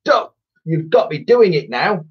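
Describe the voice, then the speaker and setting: Casting: villainous storybook shopkeeper twin, played by a male reader, raised swooping pitch, haughty, snappish, dim. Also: big pitch swings, unhurried, breathy edge, lo-fi sound, full character voice. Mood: befuddled bickering. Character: nasty, spiteful, muddle-headed male twin toy-shop owner.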